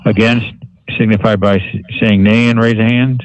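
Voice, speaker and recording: A man's voice: lowish voice, flat delivery, moderate pace, dry recording, poor audio. A man chairing a government board meeting, calling for motions and votes in formulaic parliamentary procedure.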